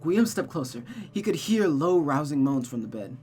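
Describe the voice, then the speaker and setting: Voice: mid-range voice, animated, normal speed, darkish tone, husky, soft background music. A narrator reading a visual novel aloud in a dramatic storytelling manner, as a streamer does. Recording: noticeable background hum and noise.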